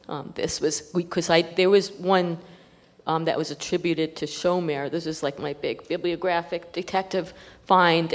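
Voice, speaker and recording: A woman with a fairly low voice speaks as a researcher at a panel event. She explains in a scholarly, hesitant manner, and the hall adds long reverb around her. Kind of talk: answering audience questions, scholarly talk. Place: large indoor event hall.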